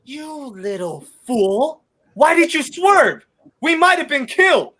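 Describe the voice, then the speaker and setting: A young man playing a wry, quick-witted gentleman on the run in a 1930s radio play. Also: very high voice, sing-song, heavily acted. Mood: urgent. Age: young adult.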